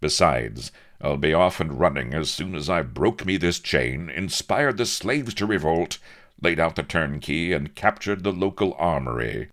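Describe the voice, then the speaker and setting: A man's voice: rumbling low, animated, unhurried, a bit bright, exaggerated voice. A man voicing a young, heroic naval captain, pompous and noble, with stern, grandiloquent old-fashioned speech in a comic storybook reading. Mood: stern, haughty. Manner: grandiloquent mock-archaic speech, stern and cool.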